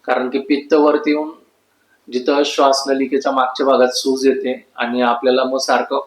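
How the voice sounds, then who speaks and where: A man's voice: medium pitch, little pitch movement, normal speed. A man explains medical advice informally, in an instructive way that repeats key points. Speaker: ENT doctor giving health advice. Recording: echoey room.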